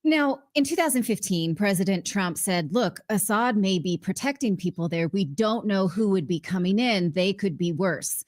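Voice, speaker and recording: A woman's voice: medium pitch, lively intonation, moderate pace, few pauses. A woman hosting a political interview, asking pointed, assertive questions. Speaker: interview host.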